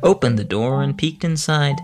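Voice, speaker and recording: A woman's voice: a bass voice, lively intonation, normal speed. A woman reading a rhyming children's story aloud in a sing-song, rhythmic way. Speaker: narrator. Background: music.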